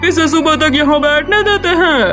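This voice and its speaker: A woman's voice: high voice, moderate pace, music in the background, natural voice. A woman voicing a frightening, commanding demoness in a cartoon, stern and menacing. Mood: stern, relenting. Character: terrifying female demoness, menacing but able to relent. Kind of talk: Hindi cartoon character voice.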